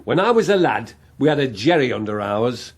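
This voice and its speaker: Blunt, combative British MP. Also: male voice, mid-range voice, big pitch swings, fairly quick, breathy edge. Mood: angry, accusing. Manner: blunt, accusing, sweary. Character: blunt, combative, angry male backbench MP. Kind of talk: radio drama acting.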